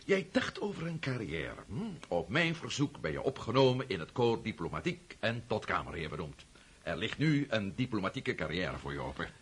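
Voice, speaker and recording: A suave, scheming older nobleman in a radio play. His voice is low and very husky, with lively swings of pitch. He is glib and pushy, talking over any objection. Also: normal speed, exaggerated voice. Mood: smooth, self-satisfied. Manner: glib, overriding, punctuates with "hm?". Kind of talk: radio drama acting in Dutch.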